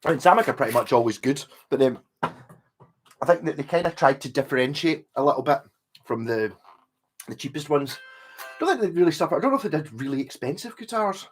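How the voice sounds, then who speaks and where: A man's voice: on the high side, expressive, fairly quick, faint music behind. A man hosting a livestream in casual chat, rambling and correcting himself as he reads viewer comments and greets people by name. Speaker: livestream host talking guitars with chat.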